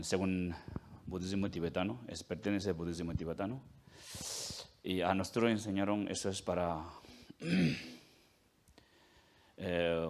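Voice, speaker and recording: Male monk lecturer, very low voice, slow, halting delivery with fillers and throat clearing. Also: darkish tone, a little hiss or hum. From India. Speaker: Tibetan Buddhist monk giving a talk. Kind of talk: lecture at a film forum.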